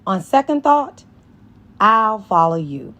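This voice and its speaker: Woman reading as a lively, confident young teacher. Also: mid-range voice, takes it slowly, muffled recording, pitched above the performer's own voice. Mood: playful. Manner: lively, playful, assertive. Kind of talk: dramatized audiobook dialogue.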